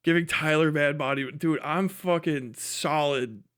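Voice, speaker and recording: A high-voiced man hosts a casual reaction stream. He talks in incredulous, mocking slang and swears heavily. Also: a little slow, fairly bright tone, soft background music. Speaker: livestream host reacting to a video. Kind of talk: casual profane reaction commentary.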